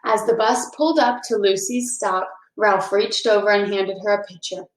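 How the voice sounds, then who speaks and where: A woman hosting a read-aloud for children, speaking in an encouraging, deliberate storytelling manner. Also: medium pitch, fairly even intonation, a little hiss or hum. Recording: echoey, reverberant room.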